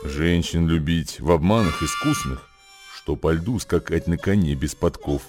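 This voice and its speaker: A man playing a worldly sage who recites old wisdom verse for radio in a measured, declamatory way. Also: deep voice, darkish tone. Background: music.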